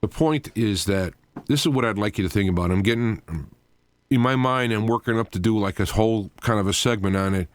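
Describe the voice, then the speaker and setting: A man's voice: on the low side, brisk, dry recording. A man hosting a live radio show in an informal, rambling monologue, explaining things as he goes with frequent asides.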